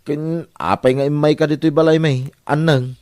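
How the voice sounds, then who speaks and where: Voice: medium pitch, steady tone, normal speed, a little mellow, no echo, natural voice. An actor playing a flustered, dithering young man with an Ilocano accent in a comedy radio drama, hesitant and stammering. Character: flustered, dithering young man caught between two women.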